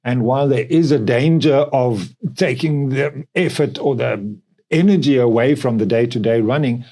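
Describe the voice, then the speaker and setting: Mellow middle-aged South African man. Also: medium pitch, moderate pace. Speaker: company co-founder and podcast producer. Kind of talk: reflective podcast conversation.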